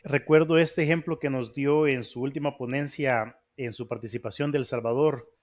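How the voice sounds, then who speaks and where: Male podcast host, mid pitch, polite and formal as he asks questions. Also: fairly even intonation, normal speed. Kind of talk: interview.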